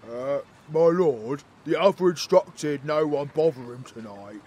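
A man's voice: a little high, measured pace, a little mellow. A man playing a dutiful, deferential nightclub bouncer in an audio drama, respectful and tough in manner.

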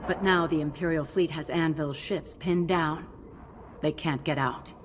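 A low-voiced, commanding alien general. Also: female voice, steady tone, background noise. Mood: urgent, resolute. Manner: measured, commanding. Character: green-skinned alien female general, grave and resolute. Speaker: general briefing pilots. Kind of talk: video game cutscene military briefing.

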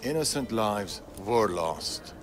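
An older man playing a guarded, weary old Persian assassin in a game cutscene, speaking gravely and deliberately. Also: fairly low pitch, animated. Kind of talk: dramatic game cutscene acting. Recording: music under the voice.

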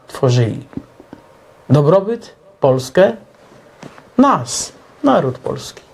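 Husky-voiced, animated man explaining. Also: a little high. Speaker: talk show co-host. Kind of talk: opinionated explanatory monologue.

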